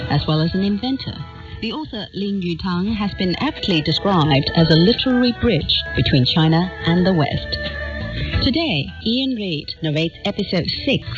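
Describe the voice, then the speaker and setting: A woman radio announcer reading a scripted programme introduction. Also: on the low side. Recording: muffled radio capture, music behind.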